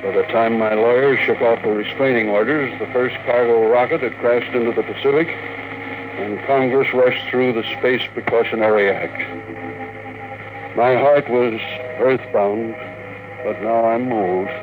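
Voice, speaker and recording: An actor playing a stubborn, commanding older tycoon obsessed with the moon in a classic radio drama. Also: male voice, medium pitch, fairly even intonation, unhurried, phone-quality sound, over music, natural voice. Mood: wistful. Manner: insistent, commanding. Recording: thin, noisy old radio broadcast.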